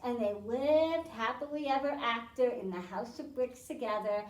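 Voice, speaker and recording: High-voiced woman reading storytime. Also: a little hiss or hum. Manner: friendly, slow and clear, asking the kids questions. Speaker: children's librarian, storytime host. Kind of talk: children's storytime and science lesson. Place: library room, indoors. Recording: echoey room, mic at a distance.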